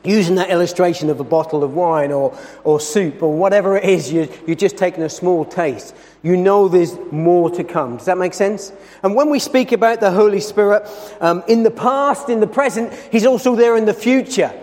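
A man preaching a sermon in a church, with a loud voice and an earnest, emphatic delivery that slows down over scripture. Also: high voice. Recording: long hall reverb.

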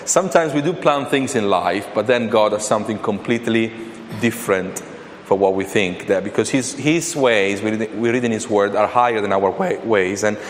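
A man's voice: lowish voice, moderate pace. A man from Italy with an Italian accent preaching a sermon as a guest speaker, speaking hesitantly with frequent fillers and restarts. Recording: reverberant hall with faint ambient noise.

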